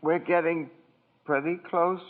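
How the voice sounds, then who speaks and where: An actor playing a naive, eager apprentice angel who is curious and slow in his wonder, in 1940s radio acting. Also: on the high side, steady tone, voice pushed up, lightly acted. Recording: tinny old radio broadcast.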